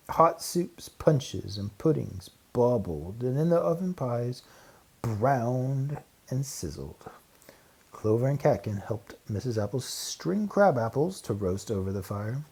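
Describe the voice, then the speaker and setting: A man reading a children's storybook aloud in an expressive narrating style. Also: medium pitch, husky. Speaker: storybook reader.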